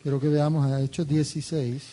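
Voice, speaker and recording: A man interpreting a sermon into Spanish, phrase by phrase, in a steady way. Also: fairly high pitch, brisk. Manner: steady, follows the preacher phrase by phrase.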